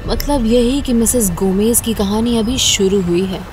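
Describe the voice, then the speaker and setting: A woman playing a menacing, commanding female ghost in a horror-comedy audio drama, speaking in slow threats. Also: a little high, background noise, lightly acted. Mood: ominous.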